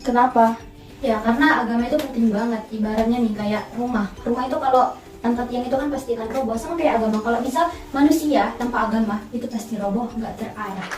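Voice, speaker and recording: A woman's voice: on the high side, quick pace. An eighteen-year-old woman, a student, speaking earnestly and explaining with simple comparisons. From Indonesia. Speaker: student interviewee. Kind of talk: casual student interview. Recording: roomy distant mic with music.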